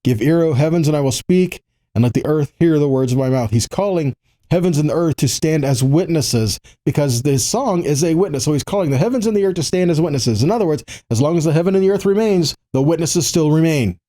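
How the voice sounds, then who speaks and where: A man giving a Bible teaching, reading quotations and commenting on them, pausing to repeat key lines for emphasis. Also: fairly high pitch, dark tone.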